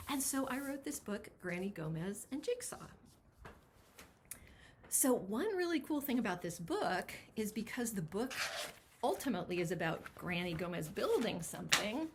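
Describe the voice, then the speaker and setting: Very animated woman reading to children. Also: mid-range voice, a little hiss or hum. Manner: expressive, addressing children with emphatic words. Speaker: children's picture book author. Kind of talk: chatty introduction and animated storybook read-aloud.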